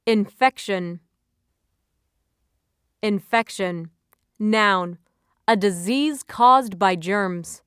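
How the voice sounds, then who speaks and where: A woman reading scripted vocabulary entries and example sentences as an educational narrator, enunciating carefully in a measured way. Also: medium pitch, clear, resonant tone, no room sound, studio quality.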